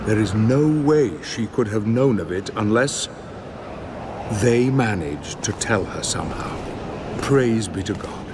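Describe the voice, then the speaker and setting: A veteran warrior of a holy order in a dark game drama. His low voice is measured, formal and grave, as if he knows much. Clear ambient noise sits behind him. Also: expressive. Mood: solemn, knowing. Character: composed, knowing veteran warrior of a holy brotherhood. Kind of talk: dramatic cinematic game acting.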